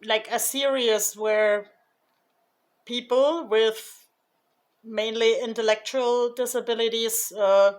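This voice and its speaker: Slow, hesitant woman vlogger. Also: fairly high pitch, fairly even intonation. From Germany. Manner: hesitant, many fillers and restarts; slow, thoughtful delivery. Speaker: YouTuber. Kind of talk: casual vlog recap.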